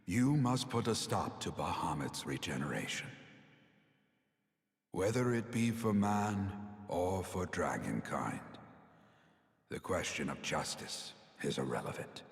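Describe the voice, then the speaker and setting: An actor playing a grave, weary elder sage in a fantasy game, speaking in a stately, deliberate, theatrical way. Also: male voice, low voice, animated, full character voice. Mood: urgent, pleading. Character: grave, weary elder male sage recounting his downfall. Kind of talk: solemn fantasy game cutscene acting. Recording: long cavernous reverb.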